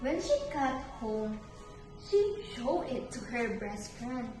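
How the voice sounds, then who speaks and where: Very slow, high-voiced woman storyteller. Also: sing-song, a little mellow. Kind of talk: scripted children's story read-aloud. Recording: echoey room, distant mic, music behind.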